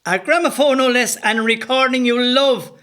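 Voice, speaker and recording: An actor playing a cheery, excitable rebel comrade in an audio play. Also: male voice, very high voice, normal speed, background noise. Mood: excited.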